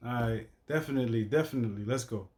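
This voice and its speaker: A man's voice: medium pitch, fast talker, darkish tone, soft background music. A man giving casual, slangy reaction commentary, repeating himself with stammering restarts and frequent swearing. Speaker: reaction video host.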